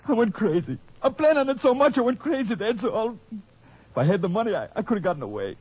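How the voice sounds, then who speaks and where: A high, husky voice that swings up and down, with a dull old radio sound: a cornered killer, desperate and unhinged. It comes from a 1950s radio drama transcription. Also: male voice, sing-song, fairly quick, no room sound, heavily acted. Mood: distraught.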